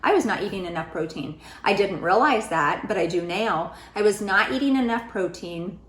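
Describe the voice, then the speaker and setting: A woman vlogger with a slightly raspy voice after an illness, giving casual, earnest weight-loss advice and repeating her key points. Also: medium pitch, animated, normal speed, a bit bright. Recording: echoey room.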